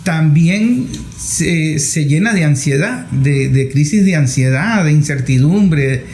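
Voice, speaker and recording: A man interviewing as a journalist, speaking conversationally in measured phrases that build into lists. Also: a little high, animated, a little mellow. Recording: echoey room with background noise.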